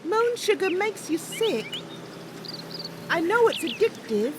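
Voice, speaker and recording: A woman's voice: very high-pitched, sing-song, takes it slowly, noisy background, heavily acted. A woman voicing a chatty, playful young female wood elf adventurer in a fantasy game roleplay. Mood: bright, chatty. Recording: loud music behind the voice.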